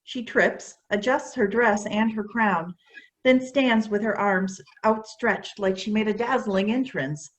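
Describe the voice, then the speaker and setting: A woman's voice: medium pitch, a little slow, poor audio. A woman narrating, reading out the stage directions of a children's play.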